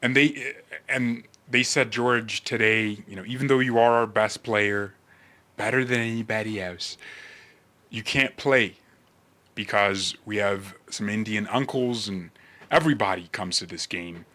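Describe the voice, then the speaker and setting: A man rambling casually and comedically to livestream viewers in a brash, mocking, animated manner. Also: on the low side, measured pace.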